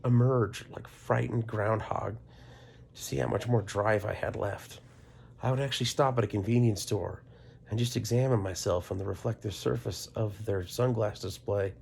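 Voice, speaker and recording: A man's voice: on the low side, vocal fry, darkish tone, a little hiss or hum. A middle-aged American man telling a casual comedic personal story in a dramatic, self-deprecating way, sometimes dropping to whispery asides.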